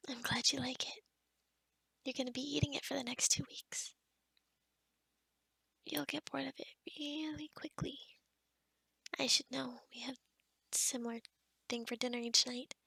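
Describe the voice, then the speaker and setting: A woman playing a kind, sheltered young forest witch in a soft-spoken, half-whispered ASMR roleplay, gentle and hesitant with small stammers. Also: sits high, fairly even intonation, unhurried, pauses often, no room sound, heavily acted. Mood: warm, content. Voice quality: breathy, whispery.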